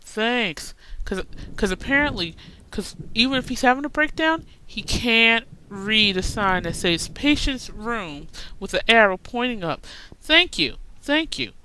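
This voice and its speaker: A woman's voice: fairly high pitch, expressive, measured pace, few pauses, bright, forceful tone, a little hiss or hum, low-quality recording. A woman hosting a gaming video with casual commentary, chatty and full of teasing asides to the game characters. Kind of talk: casual let's-play commentary.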